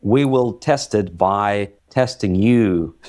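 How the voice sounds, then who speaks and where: A man's voice: on the low side, slow, a little mellow. A man hosting a casual chat about languages, thinking aloud and hesitating with fillers. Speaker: host.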